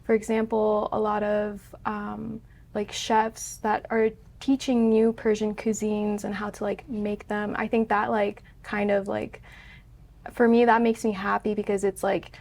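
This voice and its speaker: A young woman with a mid-range voice speaks on a casual student show. Her intonation stays very flat, and her speech is loose and filled with "like". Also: mid-range voice, unhurried. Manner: even, heavy use of "like". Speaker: student guest. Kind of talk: casual discussion.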